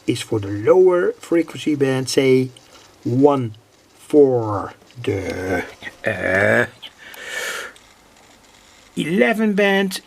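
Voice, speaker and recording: A Dutch man with a husky, creaky voice gives an unscripted hands-on demonstration in accented English. He speaks very slowly and deliberately, halting in mid-sentence as he goes. His pitch sits a little high for a man. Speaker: electronics hobbyist presenter. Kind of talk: unscripted demonstration of a homemade device.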